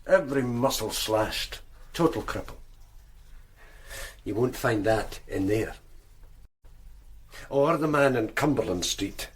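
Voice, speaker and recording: A man playing a veteran detective sergeant in a radio drama, speaking in a grim, low-key way. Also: animated, slow, pauses often. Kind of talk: 1990s radio drama acting.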